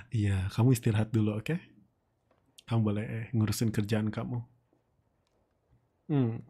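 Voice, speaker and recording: Man acting as possessive flirty boss, dark mellow tone, unhurried delivery, teasing and coaxing, close-mic ASMR roleplay. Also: fairly low pitch, slightly rough, full character voice. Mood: coaxing, flirtatious.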